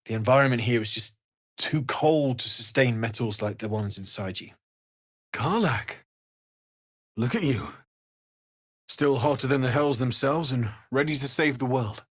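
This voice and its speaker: Male actor as a young tiefling blacksmith for a fantasy game: sincere, kindly, gentle, measured, medium-pitched. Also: lightly acted. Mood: regretful, subdued, then warm, intrigued. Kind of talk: video game character dialogue.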